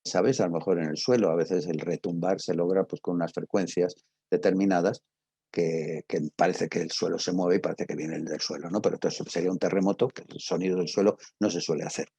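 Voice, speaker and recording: Male teacher, Castilian Spanish accent, dark and husky tone, very dry close sound, halting explanatory delivery that checks in with listeners. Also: low-pitched, steady tone, dry recording. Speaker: teacher of radio drama. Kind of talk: informal spoken lecture.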